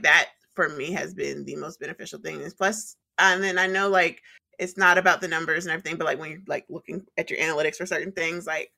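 A chatty woman with a husky, gravelly rasp and a lower voice. She talks on and on in a loose, easygoing podcast style. Her tone is bright and forceful, and her pitch rises when she gets excited. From partly the US Midwest and Atlanta. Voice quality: slight rasp that comes and goes. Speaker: podcast co-host and streamer. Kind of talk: casual podcast chat.

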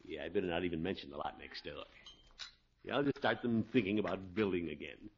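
A man's voice: low voice, expressive, fairly quick. A man playing a blustery, scheming but good-natured middle-aged uncle in a 1950s radio comedy, exclamatory and hesitant. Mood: eager, sly. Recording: muffled, noisy old radio recording.

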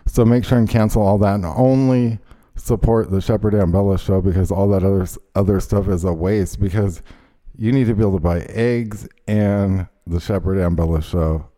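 Brief: male host, rambling indignant rant, low and mellow voice. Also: moderate pace. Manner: rambling, indignant, many fillers. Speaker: show host. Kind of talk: ranting casual chat.

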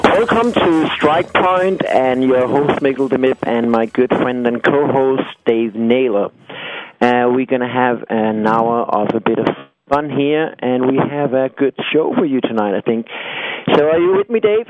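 A man hosting a talk radio show, speaking haltingly with many fillers. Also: mid-range voice, music in the background. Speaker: podcast host. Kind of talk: casual radio show chat. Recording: tinny phone-like line.

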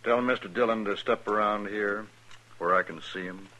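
An actor playing a cool, self-assured outlaw gang boss with a taunting, sardonic manner in a radio western. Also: male voice, fairly low pitch, fairly even intonation, moderate pace, noisy background, close to an everyday voice. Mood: curt. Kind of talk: 1950s radio western acting.

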